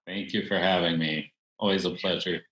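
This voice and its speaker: A man's voice: deep voice, steady tone, normal speed, fairly bright tone, lo-fi sound. A man answering interview questions as a theater director, explaining things conversationally. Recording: roomy echo, faint music.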